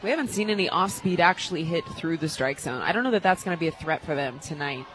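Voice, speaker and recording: A man giving casual color commentary and banter in a softball radio booth. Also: a little high, lively intonation, fast, rough recording. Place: outdoor stadium press booth.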